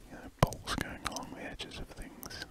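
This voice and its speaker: Breathy whispering British man. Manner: slow, hesitant, trailing musings. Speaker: host of a drawing ASMR channel. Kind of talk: ASMR, unscripted rambling while drawing. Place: indoors at a desk.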